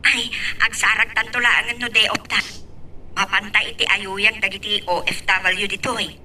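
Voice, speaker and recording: A woman's voice: medium pitch, little pitch movement, normal speed, pushed and bright. A woman with an Ilocano accent playing a chatty, reassuring friend working abroad in an amateur audio drama. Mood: friendly. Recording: distant mic with background noise.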